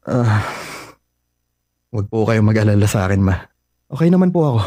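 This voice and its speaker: A man's voice: lowish voice, animated, moderate pace, mellow, no room sound. A young man playing a polite, heartbroken son in a radio drama, speaking in a subdued, downcast way.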